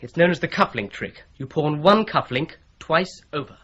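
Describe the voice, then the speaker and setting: A shrewd, methodical solicitor. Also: male voice, a little high, expressive, moderate pace, fairly bright tone, breathy edge. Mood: explaining. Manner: methodical, patiently explaining his theory. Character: Boone, shrewd young male solicitor-detective, patient explainer. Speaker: solicitor investigating a fraud. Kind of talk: BBC-style radio drama acting. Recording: dull lo-fi radio play recording.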